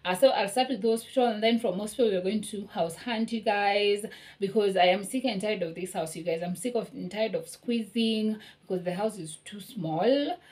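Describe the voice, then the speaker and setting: A young woman in her twenties chatting casually to her viewers in a home vlog, her voice slightly hoarse as she is losing it, with lots of fillers and repeated "you guys". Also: mid-range voice, moderate pace, darkish tone. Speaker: vlogger. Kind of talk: casual vlog talk to camera. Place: small apartment kitchen and hallway, indoors. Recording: echoey small room with faint music.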